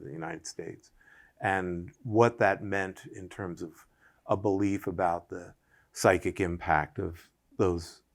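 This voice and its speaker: Low-voiced man, hesitant and thoughtful. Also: vocal fry. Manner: hesitant, many fillers and restarts; thoughtful, long winding sentences. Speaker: artist in a public conversation. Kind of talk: public conversation at a museum.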